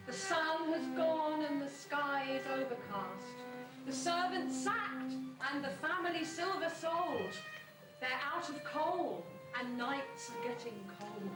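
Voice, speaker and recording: A high-voiced storybook narrator played by a woman sets a pantomime scene in slow, rhyming verse. Loud music plays, and the voice is distant from the microphone. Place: school hall stage, distant microphone. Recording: echoey distant stage recording with loud music.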